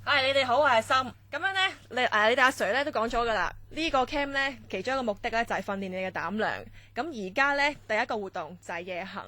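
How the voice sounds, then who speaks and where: A woman plays a brisk assistant instructor in a radio play. Her voice is bright and a little high. She lays out tasks in a chatty, businesslike way. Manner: explaining tasks, chatty fillers. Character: brisk female training-camp assistant instructor. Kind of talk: Cantonese radio drama acting.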